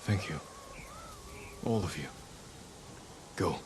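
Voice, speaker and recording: An actor playing a resolute samurai war leader in a video game, speaking in a measured, grave, commanding way. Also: male voice, low-pitched, background noise. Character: resolute samurai leader, solemn, loyal to his companions. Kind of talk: dramatic video game acting. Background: music.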